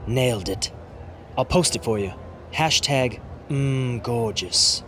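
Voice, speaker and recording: A man's voice: mid-range voice, slow pace, pushed and bright, slight background noise. A man playing a vain, snobbish fashion-model wrestler in a video game cutscene, speaking in a pompous, haughty manner. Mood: smug.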